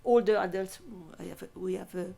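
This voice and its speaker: Female panelist, creaky and warm-toned, hesitant delivery. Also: fairly low pitch, fairly even intonation. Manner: halting, repeats words. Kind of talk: panel discussion answer.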